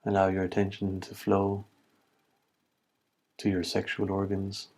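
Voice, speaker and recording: Low-voiced man guiding meditation, very even tone. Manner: calm, measured instructions in short, spaced phrases. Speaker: meditation instructor. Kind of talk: guided body scan meditation.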